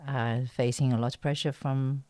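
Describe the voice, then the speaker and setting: A woman from China with a Chinese accent, chatting in an interview and often pausing to search for words. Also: a bass voice, fairly even intonation, darkish tone, no room sound. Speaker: interview guest, actress and writer. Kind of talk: casual interview chat.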